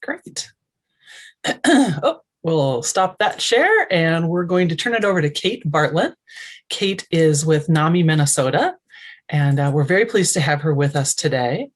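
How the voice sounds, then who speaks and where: Husky woman host introducing a speaker. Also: sits low, expressive, unhurried. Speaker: webinar host. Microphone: video call.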